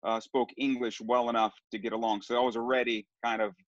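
A man's voice: medium pitch, level tone, a little fast, no room sound. Rambling middle-aged man, dry muffled sound. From Southern California. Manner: rambling, with many restarts and fillers. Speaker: sports broadcaster guest. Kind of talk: casual interview storytelling.

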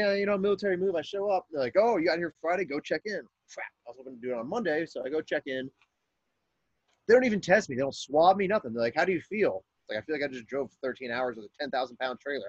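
A man's voice: fairly high pitch, expressive, fast talker, husky, dry recording, dull audio. A man in casual podcast chat, joking crudely, calling in from a camper trailer. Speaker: podcast guest.

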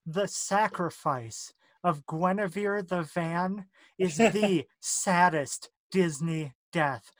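A woman chats casually as a co-host. She is blunt and dry in manner and swears freely. The sound is tight and free of room echo. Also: on the low side. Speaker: podcast co-host. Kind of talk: casual chat.

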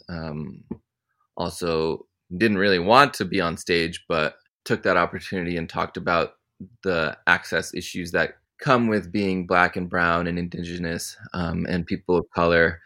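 Young male interview guest, very deep voice, easygoing and reflective delivery. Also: normal speed. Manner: relaxed, thoughtful, many ums. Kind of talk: casual interview answers.

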